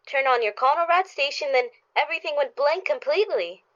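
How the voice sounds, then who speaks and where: A woman playing an excitable, insistent young daughter in a radio play, with a plain-spoken manner. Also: sits high, a little fast. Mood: worried, insistent. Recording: dull, lo-fi recording.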